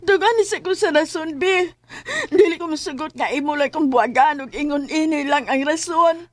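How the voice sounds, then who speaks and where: An actress playing a gentle young single mother in love in a radio drama, with a Filipino Bisaya accent. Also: high voice, moderate pace, dry recording, close to an everyday voice. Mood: tearful, pleading.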